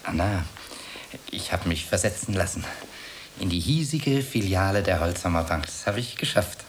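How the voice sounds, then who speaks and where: An actor playing an earnest, pedantic bank clerk in love, hesitant and plaintive, repeating himself in a German radio play. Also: male voice, sits low, normal speed. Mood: wounded, pleading. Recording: steady background hiss.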